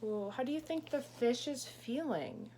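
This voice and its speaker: Slow, expressive woman teacher reading aloud. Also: high-pitched, slight background noise. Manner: explains words and prompts young listeners with questions. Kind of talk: expressive picture-book read-aloud with asides and questions.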